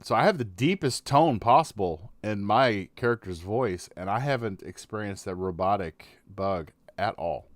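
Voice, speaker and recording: A man hosting a casual gaming podcast, measured, talking things through in long stretches. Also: on the low side, animated, no echo. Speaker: podcast host.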